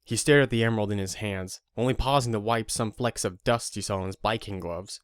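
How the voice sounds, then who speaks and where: A man's voice: lowish voice, a little fast, clean tone, no room sound. Male story narrator, very dry sound.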